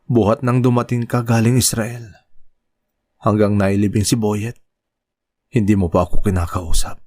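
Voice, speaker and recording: A man's voice: fairly low pitch, fairly even intonation, normal speed, mellow, no room sound, studio quality, natural voice. A man acting a pleading, remorseful husband. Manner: pleading, earnest. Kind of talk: Tagalog radio drama acting.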